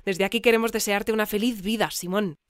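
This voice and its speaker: A woman playing a composed, formal newsreader, delivering a scripted community bulletin deadpan in a fiction podcast. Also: medium pitch, fairly quick, clean tone, dry recording, studio quality, lightly acted. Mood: composed, courteous. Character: composed female newsreader of an eerie research community.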